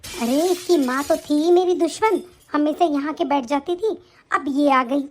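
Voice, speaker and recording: A woman's voice: high voice, natural voice. A woman doing a bossy, nagging aunt. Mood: grumbling. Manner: scolding, nagging, quick. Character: bossy scolding aunt and daughter-in-law, middle-aged. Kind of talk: comic family skit acting.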